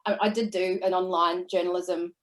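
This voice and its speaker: A woman's voice: on the low side, little pitch movement, moderate pace. A woman in her forties with a New Zealand accent, chatting easily as an interview guest and telling stories about her career. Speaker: guest, entrepreneur. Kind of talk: casual interview chat.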